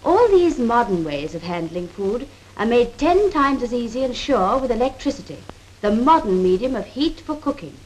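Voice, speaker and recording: Woman acting a high-voiced 1930s British cookery demonstrator. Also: big pitch swings, moderate pace, darkish tone. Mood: instructive, assured. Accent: 1930s British Received Pronunciation. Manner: precise, instructive, proper. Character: brisk, assured woman cookery demonstrator advising a housewife. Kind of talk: scripted 1930s instructional film delivery. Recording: worn, noisy 1930s film soundtrack.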